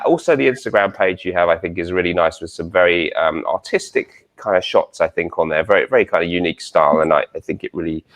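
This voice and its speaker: Low-voiced, polite man hosting. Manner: hesitant, polite, complimentary. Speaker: host of a fragrance interview show. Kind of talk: chatty online interview.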